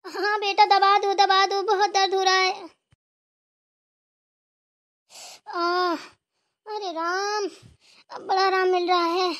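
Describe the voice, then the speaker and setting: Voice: very high-pitched, level tone, unhurried, fairly bright tone. A performer voicing an aching old village mother-in-law in a Hindi cartoon, bossy but affectionate. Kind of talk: Hindi family cartoon dialogue.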